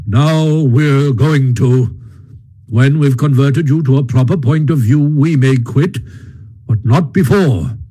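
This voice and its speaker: A stern, elderly badger who will not be moved, as a man reading aloud puts him on. He speaks slowly and firmly, in a measured, slightly husky tone. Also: fairly high pitch, mellow, low-quality recording. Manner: slow, measured, firm. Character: stern elderly male badger, gruff and firm. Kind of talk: audiobook reading.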